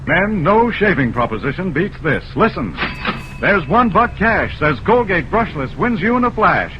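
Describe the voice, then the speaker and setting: A male commercial announcer in 1940s radio style. His voice is a little high and very animated, selling punchily. The broadcast is dull and noisy. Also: moderate pace. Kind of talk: 1940s radio advertisement, punchy sales pitch.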